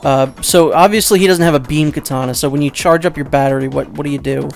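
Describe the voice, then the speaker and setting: A man's voice: a little high, fast, gravelly. Guy doing a lets play, rambly and unsure, swears casually, bit of game music behind him. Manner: rambling, second-guesses himself aloud. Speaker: let's play host. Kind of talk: casual gameplay commentary.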